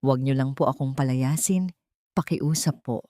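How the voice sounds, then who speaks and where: A woman's voice: a bass voice, moderate pace, warm tone, dry recording, studio quality, pitched below the performer's own voice. Woman acting a frightened, pleading young woman. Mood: fearful pleading. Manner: trembling, apologetic pleading.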